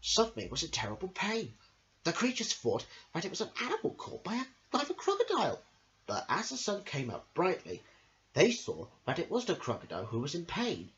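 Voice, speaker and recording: Male amateur storyteller for children's tales: sing-song, very animated intonation, mid pitch, dull lo-fi home recording. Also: a little fast. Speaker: amateur storyteller, channel host.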